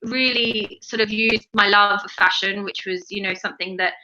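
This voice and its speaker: A woman's voice: mid-range voice, fairly even intonation, moderate pace, pushed and bright, highs cut off. A woman in her forties with an Australian accent, talking casually as an interview guest, rambling with many fillers and restarts. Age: 40s. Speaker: interview guest, fashion brand founder.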